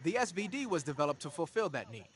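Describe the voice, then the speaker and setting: Talkative tech and weapons specialist for a video game; higher male register, streetwise, informal explainer. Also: sing-song, quick pace. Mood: matter-of-fact, explaining.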